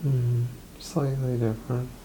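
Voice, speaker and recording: A woman quietly murmuring brief, hesitant answers as the patient in an ASMR exam roleplay. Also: rumbling low, little pitch movement, a little slow, slight background noise, low-quality recording. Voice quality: hushed, murmured.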